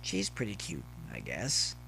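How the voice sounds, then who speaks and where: A man's voice: low voice, sing-song, unhurried, gravelly, noisy background, pitched below the performer's own voice, full character voice. Man playing a wry, casual young college pony. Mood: noncommittal.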